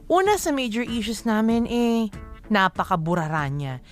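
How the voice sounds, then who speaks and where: A woman voices a young Filipino letter writer narrating a radio drama. She confides her troubles in a measured way, with faint music underneath. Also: on the high side, sing-song. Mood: confiding.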